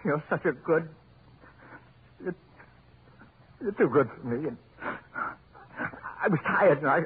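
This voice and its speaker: An American actor playing a desperate, guilt-ridden husband trapped underground in 1940s radio horror, pleading in a halting, melodramatic way. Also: male voice, a little high, lively intonation, slightly rough, full character voice. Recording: scratchy, narrow 1940s radio recording with hiss.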